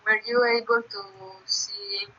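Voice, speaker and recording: A woman's voice: mid-range voice, flat delivery, measured pace, bright, forceful tone, dull audio. A woman teacher explaining an assignment to her class patiently, step by step.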